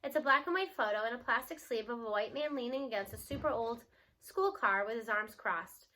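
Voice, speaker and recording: A woman giving an expressive read-aloud of a children's novel. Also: fairly high pitch, brisk, rough recording.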